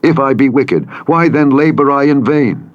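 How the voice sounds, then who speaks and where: A man narrating scripture from a script in a solemn, measured, formal delivery. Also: medium pitch, muffled recording. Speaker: audiobook Bible narrator. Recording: engine and vehicle noise over playback. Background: truck simulator engine noise.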